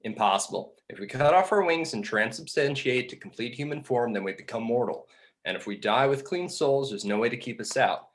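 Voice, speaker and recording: A man playing a pedantic exiled angel in a film script table read, explaining things in a dry, measured way. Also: mid-range voice, expressive, natural voice. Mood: assured. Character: Bartleby, exiled male angel, reasoning, pedantic, exasperated schemer.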